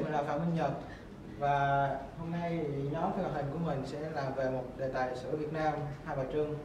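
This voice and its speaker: A teenage boy presents to camera in a fairly high male voice. The room echoes heavily, with clear background noise. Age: teens. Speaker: student presenter. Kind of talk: introduction to camera. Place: school library room. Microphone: camera at a distance. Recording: echoey noisy room, distant mic.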